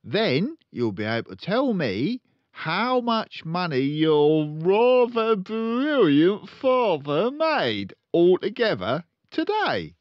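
A man's voice: sits high, huge pitch swings, slow pace, no echo, highs cut off, pitched above the performer's own voice, heavily acted. A man voicing a boastful, bullying, hot-tempered father in a storybook, blustering and pompous. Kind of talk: storybook read-aloud character voice.

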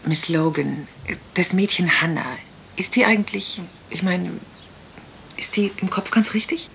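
A woman playing a curious, sharp-witted amateur detective in a radio crime drama, musing and probing conversationally. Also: fairly low pitch, a little slow. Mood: curious, polite. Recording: clear background noise.

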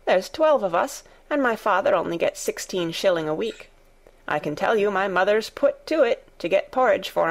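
A woman's voice: mid-range voice, gravelly. A woman voicing a good-tempered, outspoken young Yorkshire maid with a broad, homely dialect, in a storybook reading.

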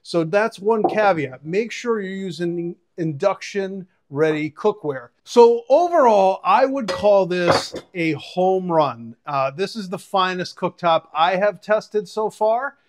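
A man's voice: high-pitched, expressive, slow, hardly any pauses, noisy background. A man in his forties giving an enthusiastic, casual, conversational product review and demonstration to camera. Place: home kitchen, indoors. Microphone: clip-on lapel mic.

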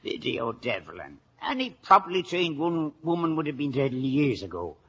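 An actor playing a gruff, stubborn older Irish police sergeant who blusters and sputters in vintage radio drama style. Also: male voice, a little high, big pitch swings, normal speed, pushed and bright, exaggerated voice. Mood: indignant. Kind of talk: 1940s-50s radio acting. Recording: dull old radio recording.

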